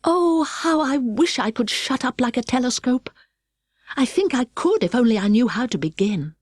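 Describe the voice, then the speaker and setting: A woman's voice: fairly high pitch, big pitch swings, moderate pace, dry recording, studio quality, voice pushed up. A woman voicing a curious, earnest little girl in a storybook, politely talking to herself. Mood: wistful.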